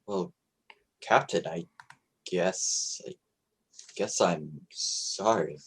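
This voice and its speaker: A man's voice: low voice, fairly bright tone, husky, exaggerated voice. A man playing a bashful, plain-spoken young American sea captain in a radio play, speaking hesitantly. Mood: sheepish, humbled. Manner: hesitant, halting. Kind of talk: amateur radio play acting.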